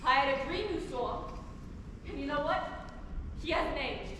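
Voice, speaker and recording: A woman's voice: high-pitched, unhurried. A young woman playing a bitter, brittle, needling middle-aged mother in a stage play. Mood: bitter, defensive. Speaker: student stage actor. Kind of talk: theatrical stage dialogue. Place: theatre stage, recorded from far off. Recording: very echoey hall, distant mic, faint noise.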